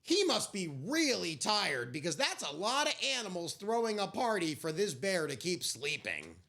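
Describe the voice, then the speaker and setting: A woman reading a picture book aloud to young children, expressively, with drawn-out dramatic phrasing and friendly asides. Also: fairly low pitch, very animated, vocal fry, a bit bright. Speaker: children's storyteller.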